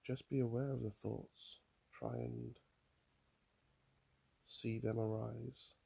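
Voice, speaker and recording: A man guiding a mindfulness meditation in a calm, soothing manner, leaving long pauses between phrases. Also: medium pitch, vocal fry, slightly rough. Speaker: mindfulness guide. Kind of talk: guided meditation, body scan.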